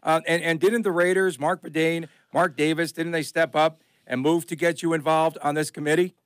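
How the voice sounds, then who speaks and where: A man hosts a sports radio show in a voice pitched a little high. He is friendly and jokey with his guest. His voice comes through a dry, close studio mic. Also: flat delivery, moderate pace, smooth, no room sound. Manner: friendly, jokey, laughs often. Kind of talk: casual radio talk. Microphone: studio microphone.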